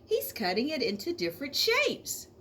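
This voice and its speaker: A woman reading a children's storybook aloud like an elementary teacher, speaking expressively to young listeners with drawn-out emphasis. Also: high-pitched, huge pitch swings, fairly bright tone, slight background noise.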